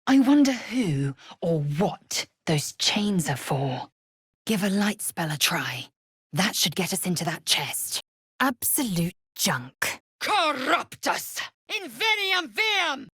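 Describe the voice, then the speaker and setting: A fierce alien warrior woman from a fantasy video game, husky and breathy. She speaks in measured, clipped phrases full of disdain, and her pitch swings widely from low to sharply raised. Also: sing-song, a bit bright, dry recording, studio quality, exaggerated voice. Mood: scornful, fierce, then commanding, incanting. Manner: clipped, imperious, disdainful delivery. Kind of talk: video game character voice lines.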